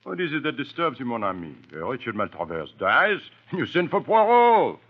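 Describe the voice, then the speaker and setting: An actor playing a vain, courtly Belgian detective with a French accent and pompous, mangled English in a radio drama. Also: male voice, medium pitch, wildly swooping pitch, a little fast. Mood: inquisitive. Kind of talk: old-time radio drama acting. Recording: muffled old radio recording.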